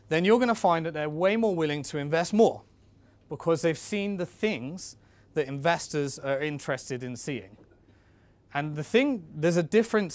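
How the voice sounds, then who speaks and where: A man presents in an informal, instructional style, talking straight to his listeners like a coach. His voice sits a little higher than average for a man. The sound is dry, with very little room around it. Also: dry recording. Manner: conversational, coaching, addresses listeners directly. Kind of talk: informal instructional talk on raising investment.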